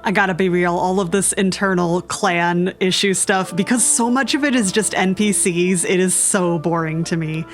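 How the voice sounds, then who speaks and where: A woman's voice: on the low side, moderate pace, few pauses. A woman streaming a game with casual, sarcastic, exclamatory commentary in a chatty way. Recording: faint game music behind.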